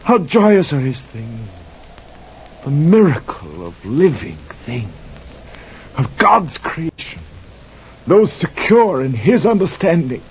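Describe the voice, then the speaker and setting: A man's voice: a little high, sing-song, slow pace, breathy edge, heavily acted. An actor playing a guilt-haunted sailor who narrates his cursed voyage in anguished, poetic radio drama style. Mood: reverent. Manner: theatrical, poetic, anguished declaiming. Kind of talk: 1950s radio drama acting. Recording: muffled vintage radio recording, faint noise, rain.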